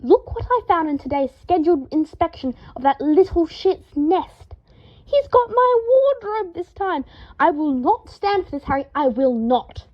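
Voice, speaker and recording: A woman's voice: extremely high pitch, very animated, a little slow, lo-fi sound, pitched above the performer's own voice, heavily acted. A woman voicing a fussy, dramatic young wizard man who gets indignant and seethes, in an audiobook reading. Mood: indignant, seething. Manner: seething, hysterical outbursts, stiffly formal when restrained. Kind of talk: audiobook character voice.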